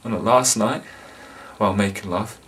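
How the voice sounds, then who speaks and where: Deep intense guy acting as a creepy unhinged loner killer, confessional monologue, volatile, tense edge. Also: measured pace, slight background noise. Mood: hushed, chilling. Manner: confessional, volatile, swinging from hushed to explosive. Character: insane, menacing male killer, a resentful sadistic loner. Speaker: actor. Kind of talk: dramatic monologue.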